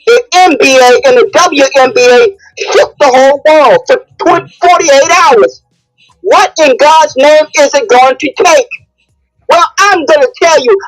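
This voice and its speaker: A woman's voice: sits high, animated, a little slow, soft background music, low-quality recording. A woman calling in to a live discussion, speaking emphatically and repeating phrases for force. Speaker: caller in a live audio discussion. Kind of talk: impassioned spoken opinion.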